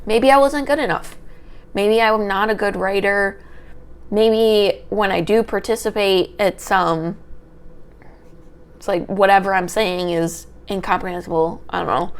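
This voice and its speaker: A young woman, a history student, talking through an unedited personal vlog into a small microphone. She has a small, light voice and rambles, tripping over her words. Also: medium pitch. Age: young adult. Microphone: small handheld microphone.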